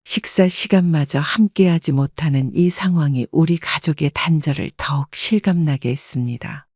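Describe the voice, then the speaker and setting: A woman calmly narrating a family story in the first person as a scripted audiobook. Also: sits low, dry recording, clean studio sound. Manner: calm, even storytelling.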